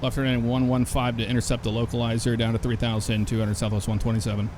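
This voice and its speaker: A man hosting a flight sim channel, talking casually as he flies and reading back the controller's calls. His voice sits at an ordinary middle pitch. A steady hum of simulated engine noise runs clearly under everything he says. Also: quick pace. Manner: relaxed, chatty, reads back radio calls. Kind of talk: casual gameplay commentary.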